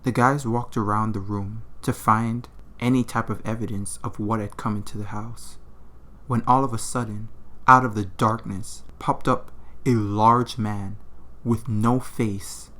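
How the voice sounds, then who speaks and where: A man's voice: fairly low pitch, fairly even intonation, a little slow, a bit bright. A man narrating a comedic horror story in an informal, slangy, irreverent way.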